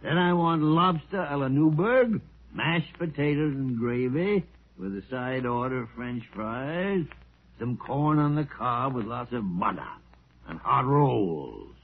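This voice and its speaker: An actor playing a grumpy, stubborn old American doctor in a 1940s radio drama, with a grumbling, emphatic delivery. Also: male voice, mid-range voice, lively intonation, takes it slowly. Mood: stubborn, demanding. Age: older. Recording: tinny, noisy old radio recording.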